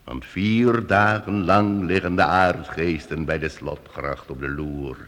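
A deep, solemn travelling minstrel master. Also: male voice, steady tone, a bit bright. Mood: solemn warning. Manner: solemn, deliberate, courteous. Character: wily, deferential travelling minstrel master, adult man. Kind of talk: old radio play acting for youth audience. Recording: radio play with long hall reverb.

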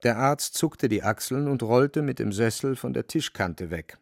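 Male narrator, middle pitch, creaky fry, scripted literary storytelling, radio play, dry sound. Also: animated, moderate pace, dry recording.

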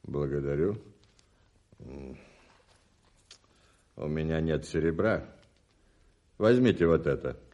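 An actor playing an imperious, impatient gentleman thief in a radio play, speaking in a curt, commanding, menacing way. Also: very deep voice, expressive, takes it slowly, pauses often, highs cut off, heavily acted. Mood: haughty, impatient. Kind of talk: 1980s Soviet radio play acting.

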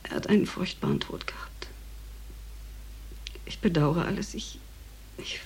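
An actress playing a middle-aged grieving, regretful mother in a radio drama, husky and halting, often sinking into a whisper. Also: low-pitched, wildly swooping pitch, a little mellow, heavily acted, low-quality recording. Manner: halting, repeating her words.

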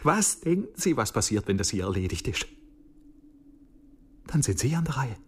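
An actor playing a sardonic chief police detective in a radio crime drama, talking in a wordy, needling, ironic way. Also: male voice, medium pitch, sing-song, normal speed, husky. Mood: taunting, urgent. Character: sardonic male chief police detective, talkative under threat.

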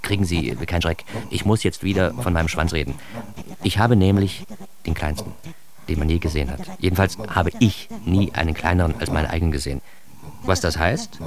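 An actor playing a self-deprecating, bitter grown son who narrates his youth in a confessional radio-play monologue, addressing the listener directly. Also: low-pitched. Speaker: narrator and protagonist of a radio drama.

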